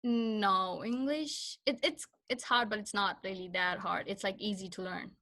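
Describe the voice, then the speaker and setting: A young woman from Pakistan whose first language is Punjabi, a guest speaking in a soft, hesitant way with many fillers. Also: medium pitch, normal speed. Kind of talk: casual interview chat.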